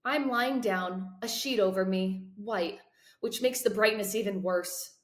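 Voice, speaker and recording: A woman's voice: mid-range voice, unhurried. A woman hosting a read-aloud, introducing and narrating a book for students and teachers. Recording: roomy echo.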